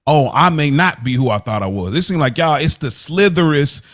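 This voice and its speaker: A man's voice: fairly high pitch, expressive, fairly quick. An American man asking probing questions in a casual podcast chat, colloquial and often rephrasing as he goes.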